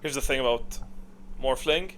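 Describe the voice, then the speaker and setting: A man's voice: mid-range voice, moderate pace, fairly bright tone. A man hosting a video in casual, unscripted gaming commentary, speaking in a blunt, opinionated way. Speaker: video host ranking game voice lines.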